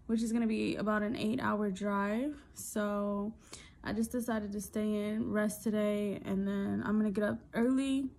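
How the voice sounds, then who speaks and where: A woman's voice: medium pitch, a little mellow. A young woman talking casually into her phone camera on a road trip, loose and candid with lots of fillers. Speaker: vlogger. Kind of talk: casual travel vlog. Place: hotel room, then car and outdoors.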